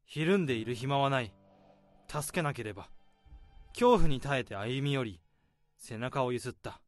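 A man in his thirties narrating a radio drama in the first person, in a slow, subdued, deadpan way. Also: mid-range voice, faint music behind. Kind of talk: radio drama inner monologue.

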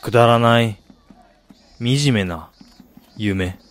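A man's voice: medium pitch, slow pace, soft background music, close to an everyday voice. A male actor plays a disillusioned man telling his own story in a radio drama. He is weary and brooding, with a self-deprecating streak and a mid-range voice. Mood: brooding, resigned. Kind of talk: radio drama first-person narration and dialogue.

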